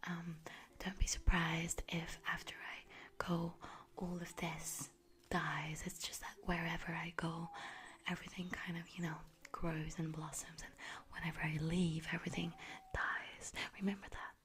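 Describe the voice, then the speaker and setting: A woman playing an anxious, scatterbrained mother goddess in an ASMR roleplay, speaking in a halting, rambling, breathy whisper and repeating herself. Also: on the low side, level tone, a bit bright. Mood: resigned, wistful. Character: anxious, scatterbrained Greek harvest goddess, mother seeking her daughter.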